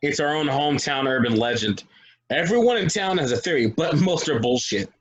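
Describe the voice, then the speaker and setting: A man's voice: fairly high pitch, expressive, fairly quick, slightly rough, poor audio. A man at a stage play table reading, playing a cynical, troubled local who talks bluntly and dismissively. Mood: assertive.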